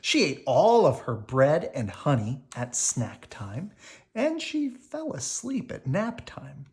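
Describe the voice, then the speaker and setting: Woman, very low voice, lively swooping intonation, children's storybook read-aloud, unhurried.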